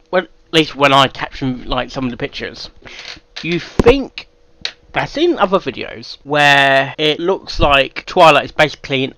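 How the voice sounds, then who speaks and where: A man hosting a casual reaction video, halting and often repeating phrases. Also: high voice, a bit bright, no room sound.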